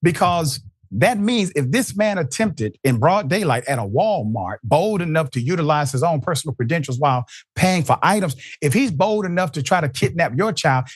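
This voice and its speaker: A man's voice: a little high, expressive, a little fast, husky, no room sound, studio quality. A man hosting a news commentary show, reading reports and then arguing his view with rising emphasis. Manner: emphatic, rising to stress points. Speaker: host.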